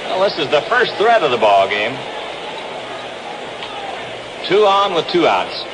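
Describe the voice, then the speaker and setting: A man's voice: fairly high pitch, sing-song, normal speed. An American man calling baseball play-by-play in the style of a 1960s radio sports broadcast. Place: outdoor ballpark broadcast. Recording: noisy vintage 1962 radio broadcast.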